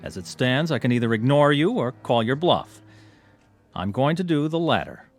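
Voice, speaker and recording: An actor playing a proud gentleman club member in a radio drama, polite and stiffly formal, with wounded dignity. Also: mid-range voice, expressive, moderate pace, faint music behind. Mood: affronted, defiant.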